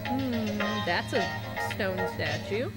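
A woman's voice: medium pitch, lively intonation, slow pace, loud music behind the voice, rough recording. A woman streamer giving casual reaction commentary on a horror video.

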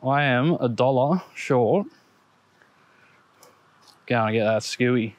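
A man in his thirties with an Australian accent, chatting casually to viewers while metal detecting outdoors, excitable and quick to laugh at his finds. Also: medium pitch. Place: outdoors on a grassy park lawn. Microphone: action camera on the body or set on the ground.

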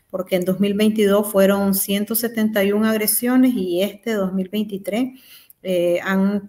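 A woman's voice: mid-range voice, warm tone. A young woman, a lawyer, speaking earnestly over a video call from a small room, sometimes searching for words. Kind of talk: remote interview.

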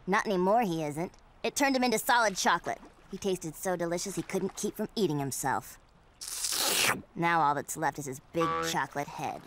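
An actress voicing a scowling, bossy little girl in a cartoon, with a deadpan, sardonic delivery. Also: on the low side, normal speed, a little hiss or hum, natural voice. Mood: grim, deadpan. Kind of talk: cartoon acting. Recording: music behind cartoon dialogue.

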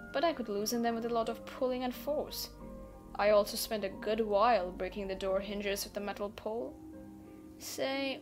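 A woman's voice: a little high, lightly acted. A woman acting an easygoing, teasing gamer captive in an audio roleplay, speaking in a playful, nonchalant, conversational way. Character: easygoing, teasing female gamer held captive, unbothered adult. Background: background music.

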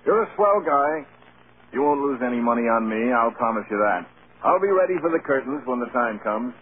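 A charming, hard-drinking movie star, acted by a man in an old radio play. He is flippant and self-mocking, with a very lively mid-range voice on a tinny broadcast. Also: medium pitch, a little fast, a little hiss or hum, lightly acted. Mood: wry, weary. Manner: flippant, wry. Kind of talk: old-time American radio drama acting.

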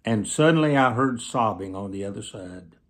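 A man in his seventies preaching through personal stories, speaking earnestly to camera with emphatic, drawn-out phrases. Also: fairly low pitch, lively intonation. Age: 70s. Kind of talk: sermon storytelling told to camera. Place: small plain indoor room, seated.

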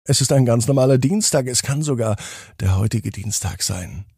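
A man hosting a children's bedtime story podcast, gently addressing young listeners with drawn-out emphasis. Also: medium pitch, expressive, creaky, breathy edge, no room sound, studio quality.

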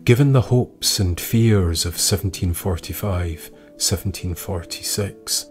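A man reading scripted historical tales as a podcast narrator, measured and with dramatic pauses. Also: low-pitched, darkish tone, soft background music.

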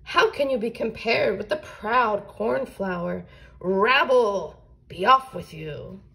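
A woman's voice: mid-range voice, slow, vocal fry, pushed and bright, exaggerated voice. A woman voicing proud, scornful young barley boys exclaiming haughtily in a children's storybook.

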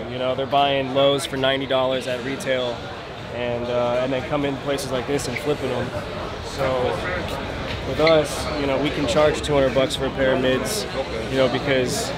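A young man who owns sneaker stores, talking casually and plainly to camera about buying stock at a convention. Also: medium pitch. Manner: relaxed, matter-of-fact, explains business plainly. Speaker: sneaker store owner and buyer. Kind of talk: casual vlog talk to camera. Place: indoor sneaker convention hall. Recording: loud crowd noise in a big hall. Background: crowd chatter.

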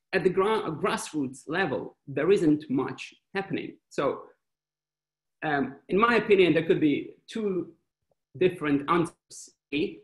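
A man's voice: mid-range voice, creaky. Chatty man on an echoey video call. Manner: animated, hand-waving, many fillers and restarts. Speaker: venture fund panelist. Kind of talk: webinar panel answer. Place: small indoor room with wall clock. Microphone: laptop webcam video call.

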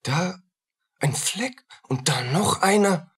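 An actor playing a tormented, feverish soldier unraveling in guilt in a radio play, husky and agitated, in fragments. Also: male voice, high voice, lively intonation, takes it slowly, a bit bright, full character voice. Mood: paranoid. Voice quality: husky, rough. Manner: agitated, fragmented, muttering and crying out.

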